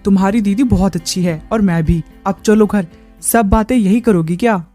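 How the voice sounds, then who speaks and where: Woman narrator as smooth-talking married lecher in a moral tale, mellow, sly, persuasive. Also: medium pitch, normal speed, faint music behind, close to an everyday voice. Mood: agreeable. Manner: coaxing, sly.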